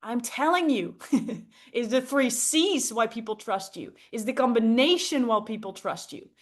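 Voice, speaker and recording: A woman's voice: a little high, lively intonation, unhurried. A woman coaching a group on public speaking, enthusiastic and emphatic, often checking in with rhetorical questions. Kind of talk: interactive group coaching talk.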